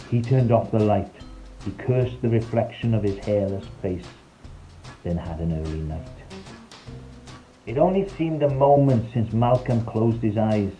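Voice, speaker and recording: A man's voice: fairly low pitch, mellow, poor audio. A man reading a scripted, rhyming children's story in a playful, theatrical storytelling manner. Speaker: storyteller, narrator. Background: background music.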